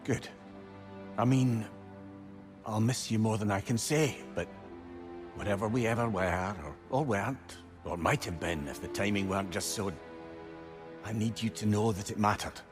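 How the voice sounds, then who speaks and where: An actor with a Scottish accent playing an earnest, eloquent, lovelorn sage in a video game. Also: male voice, on the low side, normal speed, pauses often, natural voice. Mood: tender. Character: eloquent, tender, lovelorn male sage, former scoundrel. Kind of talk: dramatic video game dialogue. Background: music.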